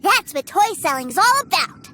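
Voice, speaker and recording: A woman's voice: extremely high pitch, big pitch swings, moderate pace, heavy background noise. A woman voicing an innocent, adoring little boy in a video game, with a childlike, gushing delivery. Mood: awed, delighted. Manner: wide-eyed, gushing.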